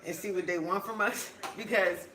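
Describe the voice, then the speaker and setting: A young woman co-hosting a casual talk show, her voice hoarse and cracking, chatting with many fillers and restarts. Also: mid-range voice, fairly even intonation, moderate pace, fairly bright tone, echoey room, rough recording. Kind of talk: casual chat.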